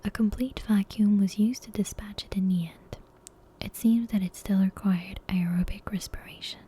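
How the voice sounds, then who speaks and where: A woman reading a bedtime story as ASMR in a soft, breathy, unvoiced whisper, with hushed and deliberate narration. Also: medium pitch, fairly even intonation, a little hiss or hum. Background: soft game soundtrack music.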